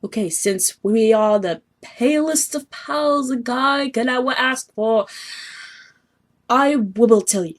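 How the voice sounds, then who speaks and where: A woman's voice: a little high, slow. A woman voicing a haughty young male sea troll who schemes and sulks, pompous and petulant, in amateur webcomic acting. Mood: sulky. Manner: pompous, petulant, self-pitying.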